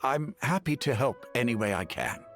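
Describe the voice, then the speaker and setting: An actor voicing a humble, soft-spoken elderly village chief in a video game who is losing his eyesight. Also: mid-range voice, animated, moderate pace, natural voice. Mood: kindly. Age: older. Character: elderly half-blind male village chief, gentle and humble. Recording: faint game music behind.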